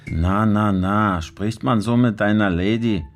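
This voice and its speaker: Very deep, slow, smug man. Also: over loud music. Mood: smug, condescending. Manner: drawling, condescending, mock-friendly. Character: smug, menacingly jovial male underworld host named Omar. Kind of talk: radio drama acting.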